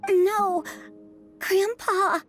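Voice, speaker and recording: A woman's voice: very high voice, fairly even intonation, extremely slow, a bit bright, exaggerated voice. A woman voicing an anxious, pleading young village boy in a video game. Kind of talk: video game dub acting. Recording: music behind the voice.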